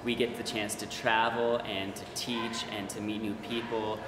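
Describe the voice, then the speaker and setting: A young man speaks earnestly in a flat, even voice. The room is echoey with a long reverb, and clear background noise runs under it. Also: lowish voice, moderate pace. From Colorado. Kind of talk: documentary interview.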